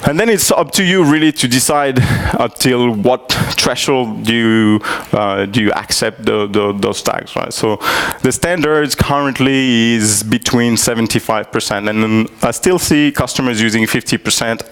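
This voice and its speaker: Gravelly man giving a tech talk. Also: medium pitch, moderate pace, few pauses. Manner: hesitant, many fillers and restarts. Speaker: tech conference speaker. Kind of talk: live technical presentation. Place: conference hall.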